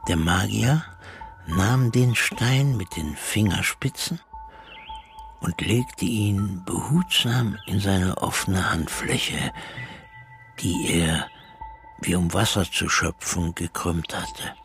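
A low-voiced man narrates a haunted childhood memory for a radio play. His delivery is gravelly and very unhurried, with deliberate pauses, and music runs beneath him. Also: full character voice. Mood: haunted, measured. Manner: slow, deliberate storytelling with pauses. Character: brooding man haunted by his brother's disappearance, recalling childhood. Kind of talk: radio drama first-person narration. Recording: music under the voice.

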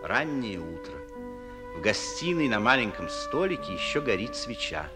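Low-voiced male narrator with long reverb. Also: sing-song, moderate pace. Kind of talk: scripted scene-setting narration for a 1950s radio play. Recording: long hall reverb, music behind. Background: music.

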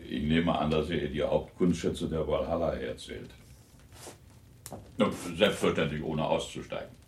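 A wry, genial older husband in a radio play, given to teasing and worrying aloud. The voice is very low and gravelly, rambling along with musing pauses. The recording is roomy, with faint noise behind it. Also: male voice. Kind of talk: German radio drama acting.

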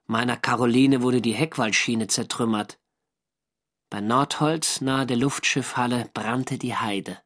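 An actor playing a German navy skipper who narrates his First World War diary in a measured, dry, literary way for a radio drama. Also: mid-range voice, a bit bright. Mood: matter-of-fact.

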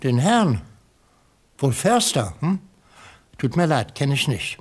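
A man's voice: mid-range voice, big pitch swings, takes it slowly, husky, heavily acted. An actor playing a courteous, salesmanlike male small-town shopkeeper in a radio crime drama. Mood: helpful, musing. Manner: obliging, polite, salesmanlike.

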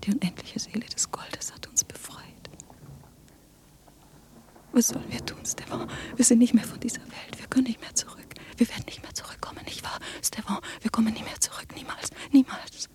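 A woman's voice: on the high side, steady tone, moderate pace, darkish tone, close to an everyday voice. An actress playing a feverish, love-struck woman in a radio drama, whispering breathlessly in an intense, incantatory rapture. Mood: ecstatic rapture. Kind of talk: literary radio drama monologue.

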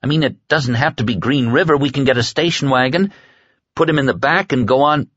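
Guy reading as a hot-tempered desperate man, raised pitch, swinging all over, indignant, dry muffled audiobook sound. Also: very animated, normal speed, fairly bright tone, slightly rough. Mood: frantic protest. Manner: protesting, emphatic, indignant. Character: anguished, hot-tempered man refusing to abandon his friend.

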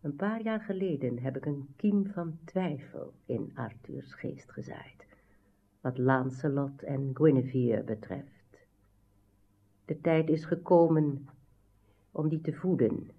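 An actress playing a scheming, imperious sorceress aunt in a radio drama, commanding and deliberate in manner. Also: female voice, low voice, lively intonation, heavily acted. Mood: coldly scheming. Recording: muffled narrowband old radio recording.

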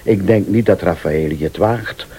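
An actor playing a shrewd, insistent accuser in a radio thriller, speaking formally and with deliberate insinuation. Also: male voice, sits low, mellow, slightly rough. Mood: accusing. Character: male late-night accuser, shrewd, insistent, laying out a murder plot. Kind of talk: Dutch-language radio thriller acting.

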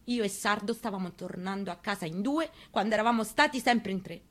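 Woman reading a memoir on the radio, quiet and measured, first person, mid pitch. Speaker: reader. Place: radio studio.

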